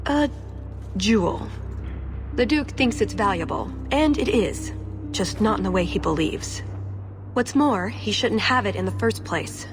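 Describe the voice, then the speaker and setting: A woman voicing a self-assured, sly young elf thief in a fantasy video game, teasing and smooth. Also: medium pitch, lively intonation, unhurried, over music. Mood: enticing. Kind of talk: video game character dialogue.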